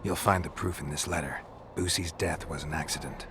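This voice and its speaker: An actor playing a stoic monster hunter in a fantasy game, speaking tersely and deadpan in a gravelly voice. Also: male voice, very deep voice, a little fast, background noise. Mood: matter-of-fact. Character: gruff, stoic male monster hunter for hire. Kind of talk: video game dialogue acting.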